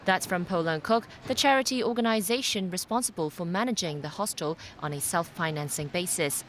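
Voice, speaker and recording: A woman's voice: fairly low pitch, brisk, fairly bright tone, a little hiss or hum. A woman narrating a scripted television news report as a reporter.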